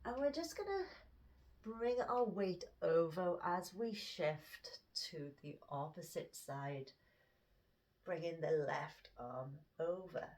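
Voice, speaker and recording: A woman teaching a guided yoga class, speaking in calm, gentle cues that sometimes drop to a whisper, her nose stuffy from hay fever. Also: fairly low pitch, slow pace, recorded from a distance. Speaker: yoga instructor.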